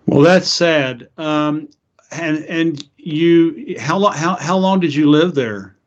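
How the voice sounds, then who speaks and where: A man from Eastern Oklahoma with an American accent, interviewing a guest in a casual, halting conversation full of restarts. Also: on the high side. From Eastern Oklahoma, United States. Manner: halting, many restarts, chuckles often. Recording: muffled lo-fi audio.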